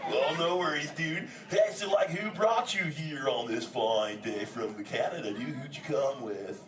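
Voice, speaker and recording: A man playing a laid-back but excitable male sea turtle who drawls surfer slang while bantering with a live audience as host. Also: medium pitch, unhurried, recorded from a distance, lightly acted. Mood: cheerful. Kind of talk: improvised comic audience banter. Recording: echoey, noisy hall, voice from afar.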